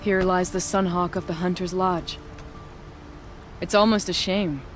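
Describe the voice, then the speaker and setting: A woman's voice: fairly low pitch, steady tone, unhurried, noisy background, natural voice. A woman playing a proud, steely noble huntress in a video game, formal and poised in her speech. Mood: cold, triumphant.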